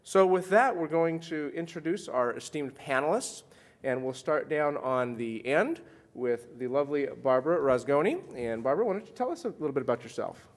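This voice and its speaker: A man's voice: a little high, animated, normal speed. A man moderating a conference panel, giving housekeeping notes and introducing speakers with some ums. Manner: orderly, housekeeping announcements with fillers. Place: conference session room.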